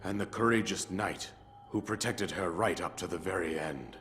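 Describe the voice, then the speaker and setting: A solemn old king in a video game, mourning his lost kingdom. His voice sits very low and he speaks in a grave, formal, measured way, with orchestral score clearly playing underneath. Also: fairly bright tone. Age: older. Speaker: narrator of past events in a cutscene. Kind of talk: video game cutscene voice acting. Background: orchestral music.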